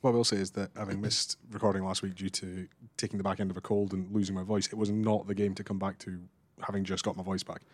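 A man hosting a casual sports podcast, brisk and upbeat. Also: low voice, level tone, no echo. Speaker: podcast host.

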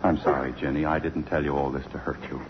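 On a muffled, noisy old radio broadcast, a middle-aged father with a deep voice. He speaks in a measured, formal way, grave and contrite. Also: flat delivery. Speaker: radio drama actor. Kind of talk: 1950s radio drama acting.

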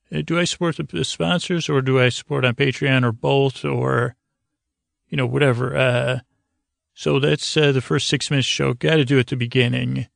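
A man hosting a sleep podcast in a rambling, soothing monologue, meandering and whimsical, trailing off and circling back with gentle self-deprecation. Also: medium pitch, vocal fry, dry recording, clean studio sound.

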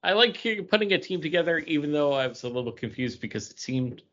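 A man's voice: fairly high pitch, expressive, fast, fairly bright tone, highs cut off. A man co-hosting a podcast, talking casually and giving his opinions on what he reviews. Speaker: podcast co-host reviewing comic books.

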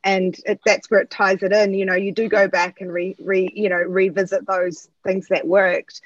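A woman's voice: mid-range voice, level tone, moderate pace, dry recording, lo-fi sound. A woman from New Zealand with a Kiwi accent, chatting as a podcast guest about her art work, with lots of fillers and restarts. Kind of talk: casual interview chat.

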